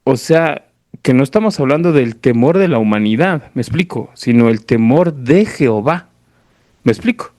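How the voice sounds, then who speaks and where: A man's voice: medium pitch, expressive, moderate pace, darkish tone, no room sound, clean studio sound. A man with a Mexican accent preaching an informal, didactic sermon, explaining conversationally and often checking that listeners follow. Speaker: preacher at a church service. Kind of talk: informal sermon with Bible reading.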